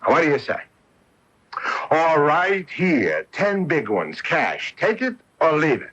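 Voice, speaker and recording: Pushy streetwise fence, kinda high voice, very lively, muffled old radio drama. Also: male voice, slow, slightly rough, heavily acted. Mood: pushy, haggling. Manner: brusque, streetwise, haggling. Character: hard-bargaining, brusque male fence of stolen goods. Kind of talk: radio mystery drama acting.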